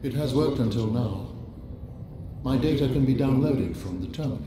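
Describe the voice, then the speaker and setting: A man playing a stoic alien mind stored as data, speaking formally and in a measured way in a video game. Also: mid-range voice, lively intonation, lightly acted. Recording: echoey, noisy game ambience.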